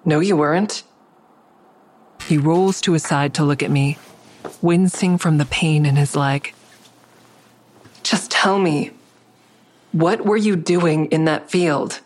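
A woman's voice: low voice, takes it slowly, pauses often. A woman playing a guilt-ridden, weary heroine who narrates her own story in an audio drama, blunt and subdued. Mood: weary, pressing. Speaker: narrator and lead actor. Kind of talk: audio drama first-person narration and dialogue.

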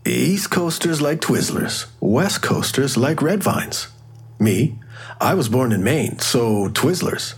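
A man's voice: medium pitch, slow pace, creaky, slightly rough, full character voice. An actor playing a quirky, chatty male medical examiner in an audio drama. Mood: playful, eager. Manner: quirky, animated. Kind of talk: full-cast audio drama acting.

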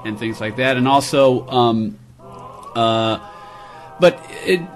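A man hosting a radio talk show, speaking in halting bursts and repeating words as he thinks. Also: mid-range voice, soft background music, low-quality recording. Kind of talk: casual chat, also reading an ad.

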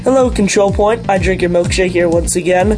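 A woman's voice: fairly low pitch, brisk. A woman casually introducing her contribution, recorded on a laptop. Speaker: listener contributor. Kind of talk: casual spoken introduction to a song parody. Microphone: laptop microphone. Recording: amateur laptop recording, music behind.

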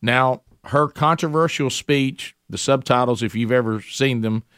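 A man hosting a talk show, giving casual political commentary in a halting way, with restarts and fillers. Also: medium pitch, a bit bright, clean tone, no room sound, clean studio sound.

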